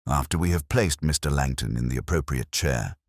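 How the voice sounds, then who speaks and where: A man voicing a gentle, unhurried, dryly ironic police inspector in a mystery story. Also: extremely low pitch, no room sound, studio quality. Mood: dry, reflective. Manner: gentle, measured, merely courteous.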